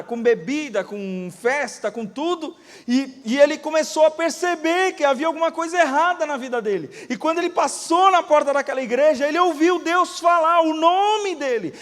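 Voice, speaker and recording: A Brazilian pastor preaches in a high, bright voice, his words carried by the long ring of a church hall. He tells stories with drama, rising loud and then dropping to a hush as he acts out the voices of those he quotes. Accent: Brazilian Portuguese. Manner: dramatic storytelling, acting out quoted voices, swinging from loud to hushed. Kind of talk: sermon.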